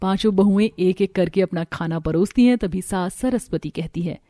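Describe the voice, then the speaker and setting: A woman narrating a family cartoon story in a brisk, scripted storytelling manner. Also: lowish voice, mellow.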